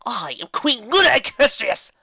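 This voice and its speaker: A man doing a hissing, mocking dark alicorn mare. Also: very high-pitched, big pitch swings, vocal fry, slightly rough, no echo, muffled recording, pitched above the performer's own voice, exaggerated voice. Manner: hissing, taunting, slow and cold.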